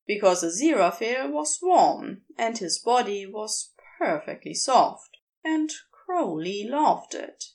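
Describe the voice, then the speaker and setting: A woman reading scripted fiction as a narrator, in an expressive storytelling style. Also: medium pitch. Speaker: podfic narrator.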